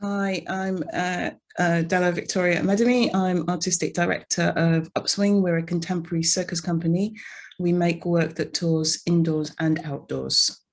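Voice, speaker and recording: Measured low-voiced woman. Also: fairly even intonation. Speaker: artistic director. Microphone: video call.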